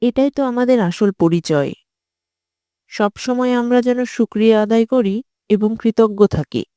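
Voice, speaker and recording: Mellow-voiced, gentle devout mother played by a woman. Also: on the high side, normal speed, smooth, no room sound, studio quality, lightly acted. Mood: caring, instructive. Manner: nurturing, gently instructive. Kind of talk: scripted cartoon dialogue.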